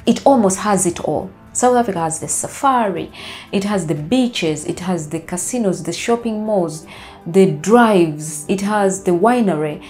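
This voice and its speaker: A woman's voice: fairly low pitch, a little slow, soft background music. Ugandan woman vlogging to camera. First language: Luganda. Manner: animated, gesturing with her hands, talking it through as she goes. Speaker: travel vlogger. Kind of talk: casual talk to camera. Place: bright living room indoors. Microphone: lapel mic.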